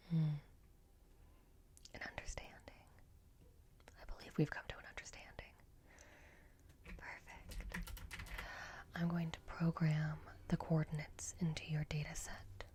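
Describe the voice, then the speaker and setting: Slow whispering woman in ASMR roleplay. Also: deep voice, completely flat delivery. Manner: hushed, deliberate, coaxing, with long pauses. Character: softly scheming robot repair technician with a secretive streak.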